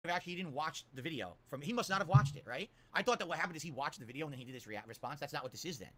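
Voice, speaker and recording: Man, ranting stream commentary, incredulous, bright tense tone. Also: a little high, animated, very fast. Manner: incredulous, indignant, profane. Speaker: streamer responding to a critic.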